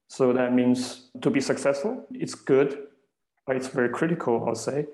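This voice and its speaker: A man's voice: mid-range voice, normal speed, darkish tone. A man with a Chinese accent, giving explanatory answers as a podcast guest. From China. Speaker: podcast guest, venture capital investor. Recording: roomy, echoing room.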